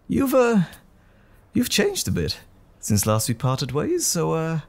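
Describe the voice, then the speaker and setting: A man playing a polite, guilt-ridden gentleman teacher in an audio drama, speaking earnestly and hesitantly in formal phrases, with a breathy, husky voice. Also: on the high side, full character voice. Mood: awkward, apologetic. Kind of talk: audio roleplay drama acting.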